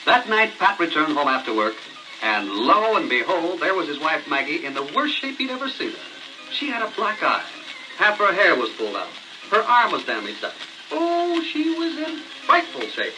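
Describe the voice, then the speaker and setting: A man's voice: fairly high pitch, big pitch swings, moderate pace. A man narrating a scripted comic story on a novelty record in a theatrical, folksy way. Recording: noisy crackling 78 rpm record.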